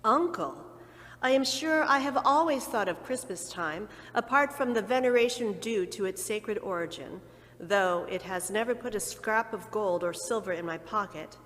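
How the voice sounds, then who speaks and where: A woman's voice: mid-range voice, expressive, moderate pace, in a large hall, close to an everyday voice. Woman doing a cheerful young nephew. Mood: earnest. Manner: bright and cheerful. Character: cheerful warm-hearted young man.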